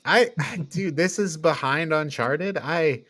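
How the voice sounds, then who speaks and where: A man hosting a casual movie review chat, talking in an informal, halting way peppered with slang. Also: fairly high pitch, pushed and bright. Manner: informal, halting, frequent "like" and "dude". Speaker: host of a media review podcast.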